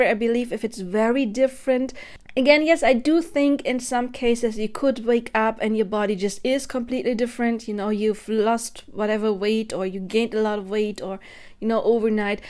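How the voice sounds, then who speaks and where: A woman's voice: on the high side, fairly even intonation, brisk, a little mellow. A woman in her thirties giving a spontaneous, earnest monologue to camera about her spiritual beliefs, thinking aloud as she goes. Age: 30s. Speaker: spiritual vlogger.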